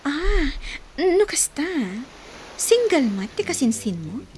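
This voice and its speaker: A woman's voice: high-pitched, very animated, takes it slowly, a little mellow, a little hiss or hum, full character voice. An actress with an Ilocano accent playing a sweet, shy, single young woman in a radio drama, speaking in a hesitant, coy way. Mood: flustered, shy. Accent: Ilocano, northern Philippines. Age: young adult.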